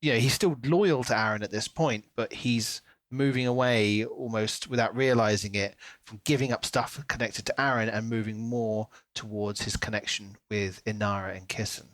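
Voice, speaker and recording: A man's voice: fairly low pitch, steady tone, moderate pace, hardly any pauses, a bit bright, no room sound. A man co-hosting a podcast, talking casually through a book and acting out reactions as he retells it. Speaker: podcast co-host.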